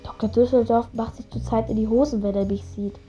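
A woman's voice: fairly high pitch, fairly even intonation, fairly quick, warm tone, muffled recording, soft background music, natural voice. A woman playing a stubborn, cheeky, needy sick boy of ten in an audio drama, blunt and childlike. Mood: insistent, pleading.

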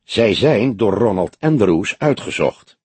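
Man reading as wry old detective, husky voice. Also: fairly low pitch, very animated, darkish tone, no room sound, exaggerated voice. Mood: shrewd, measured. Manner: mocking, probing, deliberate. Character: old grizzled police detective, teasing, wry, shrewd.

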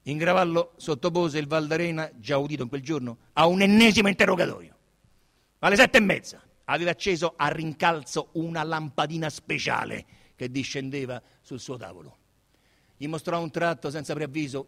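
A man with a Roman accent giving an emphatic, declamatory, expressive theatrical reading of literary narration. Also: fairly high pitch, vocal fry. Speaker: stage actor reading a novel as narrator. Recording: reverberant hall.

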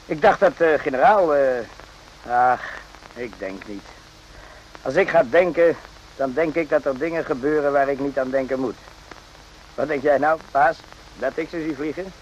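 An actor in a radio play voicing a brooding, rambling soldier who muses haltingly and repeats himself. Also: male voice, a little high, muffled recording, a little hiss or hum, close to an everyday voice. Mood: chatty, defensive. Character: brooding, rambling soldier, former circus night watchman.

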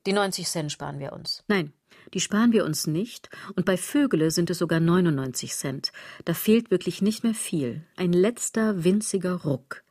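A woman's voice: mid-range voice, dark tone, no echo, clean studio sound, close to an everyday voice. An actress playing a sardonic, rambling woman in a literary radio drama monologue, ironic and insistent, often correcting herself. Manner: ironic, self-correcting, insistent rhetorical delivery. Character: sardonic, rambling woman brooding on fashion and clothes.

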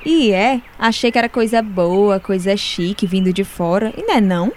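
A woman's voice: medium pitch, normal speed, a little mellow, slight background noise, close to an everyday voice. A woman playing a naive, curious farmer woman in a radio drama, with a Northeastern Brazilian accent and a folksy, exclamatory way of talking. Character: naive, curious rural farmer woman named Maria, chatty.

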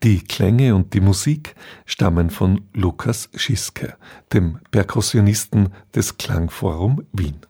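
A man reading a scripted radio announcement in a dark, husky voice. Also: lowish voice, slow.

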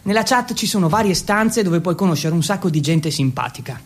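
A man's voice: high voice, lively intonation, brisk. A man performing a theatrical monologue as a teenage exchange student, telling his story and listing things with relish. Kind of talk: dramatic monologue in the second person.

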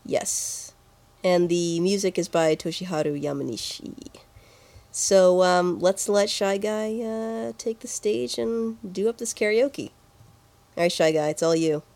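A woman's voice: fairly low pitch, a little slow, vocal fry, darkish tone. A woman co-hosting a podcast, chatting casually and informally about video game music. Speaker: podcast co-host.

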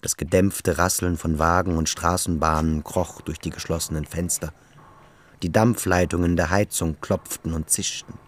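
A man's voice: deep voice, steady tone, moderate pace. A man reading literary scripted narration as the narrator of a radio drama.

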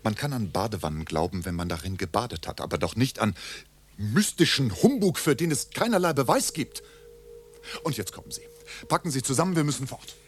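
Man acting a hot-headed young Englishman, volatile pitch. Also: lively intonation, fairly quick, faint music behind, natural voice. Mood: exasperated, pleading. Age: young adult. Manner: agitated, emphatic, swinging between hushed and outbursts. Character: young, hot-headed, impatient, passionate Englishman, scornful and pleading. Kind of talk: radio drama acting.

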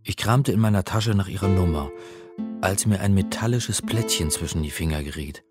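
Casting: male actor as polite, uneasy sleuth and narrator, fairly deep voice, radio drama over music. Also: moderate pace, lightly acted. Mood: brooding, uneasy. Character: male investigator narrating his story, uneasy, hesitant, polite.

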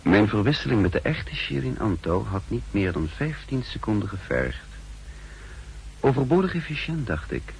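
A man playing a secret agent who recounts his mission in formal, measured words in a 1960s radio play. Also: on the low side, sing-song, gravelly, darkish tone, poor audio. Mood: measured, brooding. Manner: formal, measured, literary diction. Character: uneasy male secret agent impersonating his double, recounting events. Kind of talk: 1960s radio play first-person narration. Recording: hissy, humming 1960s radio recording.

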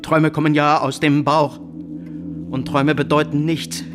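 A guilt-ridden, villainous nobleman sliding into madness in a theatrical radio drama. His delivery is feverish and drawn out, with huge leaps in pitch from hushed, shaking dread to frenzied cries. Prominent music runs behind the voice. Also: big pitch swings, measured pace. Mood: trembling, feverish. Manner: feverish, erratic, lurching from hushed trembling to frantic cries. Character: guilt-tormented nobleman villain, terrified, raving, descending into madness. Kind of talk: German radio drama acting, theatrical classical monologue.

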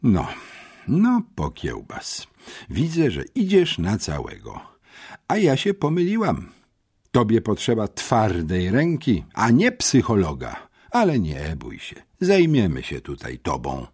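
A man's voice: fairly low pitch, huge pitch swings, slow pace, a little mellow, slightly rough, heavily acted. A man voicing a stern, domineering school headmistress in an audiobook, scolding with mocking sarcasm.

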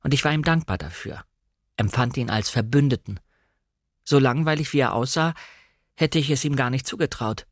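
A man narrating a literary audiobook in a measured, expressive way. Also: medium pitch, dry recording, studio quality.

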